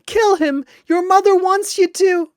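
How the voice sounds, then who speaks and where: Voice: extremely high pitch, steady tone, measured pace, dry recording, studio quality, voice pushed up. A narrator voicing a childish, manipulative young girl fighter with pleading, insistent delivery in a dramatic battle story. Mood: frantic, shrieking.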